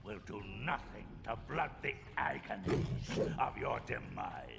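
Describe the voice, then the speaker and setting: An actor playing a hostile vampire monster standing guard in a video game, loyal and menacing, growling slow archaic threats. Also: male voice, mid-range voice, expressive, very noisy. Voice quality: growling, very gravelly.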